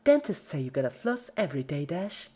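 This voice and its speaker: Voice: medium pitch, sing-song, a little fast, dark tone, slightly rough, voice pushed up. A performer voicing a giggly, relentlessly cheerful yet sadistic female cartoon pony in a story reading, chirpy and playful while menacing. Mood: gleeful.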